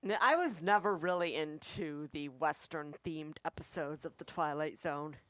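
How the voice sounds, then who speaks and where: A woman co-hosts a casual review chat, giving her take on what she has watched. Her voice sits slightly low for a woman. The recording is dry, with hardly any room sound. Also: moderate pace, a bit bright, no room sound. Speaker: co-host reviewer.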